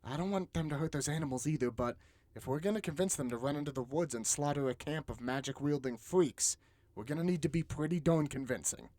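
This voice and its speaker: A man's voice: a little high, a little fast, mellow, no echo, close to an everyday voice. An actor playing a blunt, exasperated but caring young male adventurer in an audiobook. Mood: conciliatory. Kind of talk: audiobook character acting.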